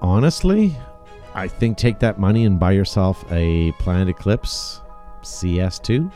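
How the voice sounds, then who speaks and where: A man hosting a casual panel chat, upbeat and halting, reading viewer questions aloud. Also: low-pitched, animated, dark tone, faint music behind. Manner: enthusiastic, halting restarts. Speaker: podcast host reading live chat questions.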